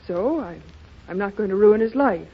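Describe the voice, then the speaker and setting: A woman's voice: mid-range voice, lively intonation, moderate pace, breathy edge. An actress playing a worried, self-sacrificing young American woman in a 1930s radio drama. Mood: downcast. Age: young adult. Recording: muffled, hissy 1930s radio recording.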